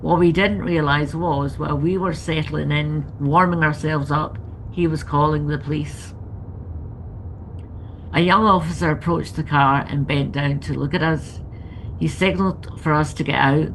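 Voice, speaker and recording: A woman's voice: low-pitched, steady tone, normal speed, slight background noise, low-quality recording. A woman author reading her own book aloud as scripted memoir narration, with ambient sound behind her.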